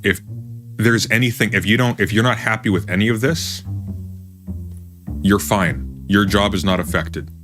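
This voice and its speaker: A man with a very deep voice gives casual live commentary. He sounds defensive and hammers his points by repeating them. Music plays clearly beneath him. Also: moderate pace, pauses often, fairly bright tone. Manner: defensive, emphatic repetition. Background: music underneath.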